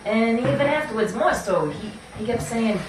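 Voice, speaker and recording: A woman plays a sheepish gang flunky in a puppet show. The figure is hesitant and eager to obey. The recording is very echoey and far from the mic, with clear noise. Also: on the high side, expressive, normal speed, natural voice. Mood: uneasy. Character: sheepish gangster henchman puppet, nervous and obedient. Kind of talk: amateur puppet show acting.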